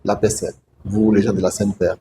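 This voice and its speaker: A male talk show host with a warm, mellow tone, chatting and restating his guest's answers. The room is echoey, with faint background noise underneath. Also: fairly low pitch, level tone, quick pace. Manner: conversational, repeats and recaps guest's answers. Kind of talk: studio interview questions.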